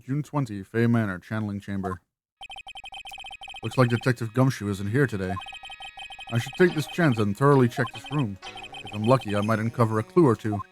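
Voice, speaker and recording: Woman playing a low-voiced, wry young male lawyer. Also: a little fast, long pauses, a little mellow, faint music behind. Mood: thoughtful. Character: earnest young male defense lawyer, wryly skeptical. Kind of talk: video game dialogue and inner monologue.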